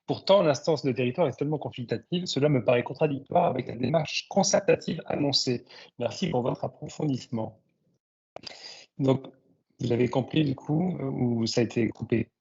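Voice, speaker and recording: A man's voice: medium pitch, normal speed, a little mellow, breathy edge. A man moderating a webinar and relaying questions in a conversational way. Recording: dull, lo-fi online call audio.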